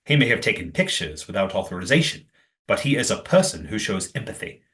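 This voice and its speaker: A man's voice: fairly low pitch, big pitch swings, fairly quick, a bit bright. An actor playing a righteous, well-spoken man in an audio play, formal and emphatic. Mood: indignant. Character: righteous, well-spoken man guarding his community's history.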